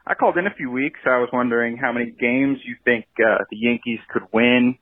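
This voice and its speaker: A phone-quality voicemail from a man with a rough, husky voice. He sits at a middling pitch and speaks casually as a caller to a show. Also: brisk, dry recording. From Texas, United States. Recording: husky-sounding tinny phone line.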